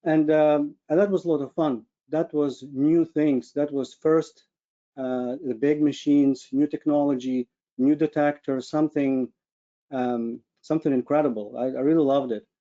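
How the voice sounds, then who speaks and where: Higher-voiced Ukrainian man, muffled call audio. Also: steady tone, moderate pace, mellow. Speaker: webinar speaker, medical physicist. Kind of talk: informal personal career presentation. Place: online video call with screen share.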